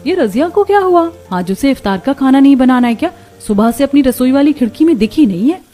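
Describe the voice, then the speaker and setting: A woman voicing friendly, chatty young housewives in a cartoon story, with expressive neighbourly talk. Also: sits high, a little fast, soft background music, pitched above the performer's own voice. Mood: concerned.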